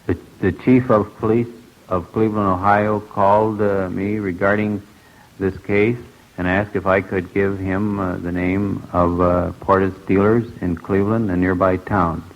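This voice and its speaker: A man's voice: sits low, level tone. An American man giving a business witness statement, very hesitant, with frequent uhs. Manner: very halting, hesitant, many uhs. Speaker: hat company representative. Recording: muffled old radio recording.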